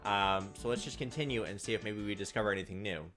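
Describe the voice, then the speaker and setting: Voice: sits low, quick pace, fairly bright tone. Scratchy-throated gameplay host over music. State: sore, scratchy throat from winter weather. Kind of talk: casual let's play commentary mixed with reading visual novel text aloud. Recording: music behind the voice.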